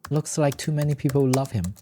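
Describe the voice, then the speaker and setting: A man's voice: mid-range voice, fairly quick, dark tone, a little hiss or hum, voice pushed up, lightly acted. A man voicing a blunt, scolding mature woman accountant in an audiobook story. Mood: blunt, matter-of-fact.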